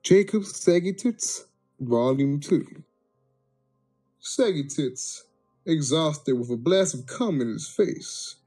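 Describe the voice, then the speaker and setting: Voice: medium pitch, dark tone, slightly rough. A person giving a crude, mock-dramatic reading of a comic story, sometimes breaking off into blunt asides. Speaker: amateur story reader.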